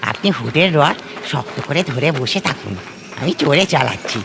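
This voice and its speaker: A man's voice: a little high, lively intonation, moderate pace, gravelly, noisy background. A man playing a mysterious, deferential rustic rickshaw puller who reassures his passenger in a horror audio story. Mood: urgent reassurance.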